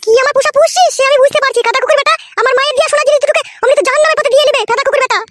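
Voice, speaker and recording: Super squeaky angry housewife, cartoon style, sharp tongue, always scolding. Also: male voice, steady tone, rapid-fire, dry recording. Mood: scornful, furious. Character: shrill, scornful, quarrelsome first wife. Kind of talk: comedy cartoon dialogue.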